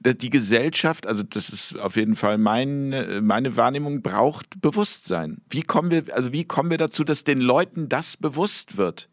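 A man's voice: medium pitch, lively intonation, normal speed, few pauses, dry recording. Hesitant man in a dry recording. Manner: hesitant, many fillers and restarts. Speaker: conversation partner. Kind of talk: casual podcast chat.

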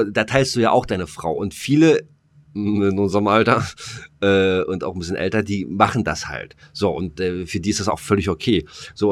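Dry-sounding, lower-pitched man chatting casually. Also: no echo. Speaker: podcast co-host. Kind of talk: casual, joking podcast chat.

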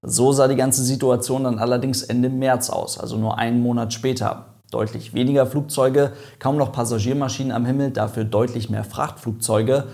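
A man's voice: mid-range voice, gravelly, a little mellow. A man hosting an online aviation video, explaining events in a chatty, lightly joking way. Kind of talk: casual explainer narration.

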